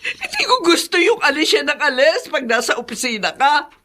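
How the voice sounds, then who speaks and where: A woman's voice: sits high, sing-song, normal speed, pushed and bright, heavily acted. An actress playing a tearful, accusing older Filipino mother-in-law in a radio drama, wailing her complaints. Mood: tearful, aggrieved. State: crying.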